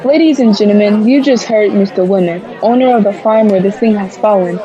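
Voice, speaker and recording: A woman's voice: mid-range voice, a little fast, mellow, dull audio, close to an everyday voice. A woman playing a radio news reporter in a radio drama, giving dramatic, formal live commentary from the scene. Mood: intrigued. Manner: dramatic, formal on-the-spot describing. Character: excited radio field reporter, a woman, describing a mysterious landing. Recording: busy crowd noise around the voice. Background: crowd in a public space.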